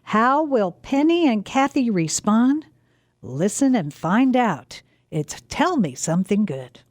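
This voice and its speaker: A woman's voice: on the high side, very animated, slow pace, no room sound, studio quality, heavily acted. A woman announcer reading a scripted introduction to a family radio play.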